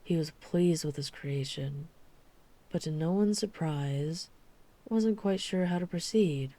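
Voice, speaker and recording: A woman playing a dry-witted female vampire hunter in an urban fantasy ASMR roleplay, speaking in a measured, deliberate storytelling manner. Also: deep voice, warm tone. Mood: grave, wry.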